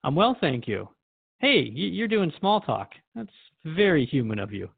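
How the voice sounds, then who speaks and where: A man's voice: on the high side, very animated, normal speed, gravelly, husky, dry recording, narrow, tinny audio, heavily acted. A man playing a thoughtful, friendly radio host who asks probing questions in a conversational radio drama interview.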